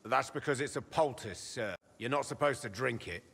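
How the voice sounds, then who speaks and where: An actor playing a dry, matter-of-fact mercenary healer in a fantasy game. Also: male voice, mid-range voice, moderate pace, gravelly, a bit bright, slightly rough, long reverb tail. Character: male company healer, dry long-serving soldier.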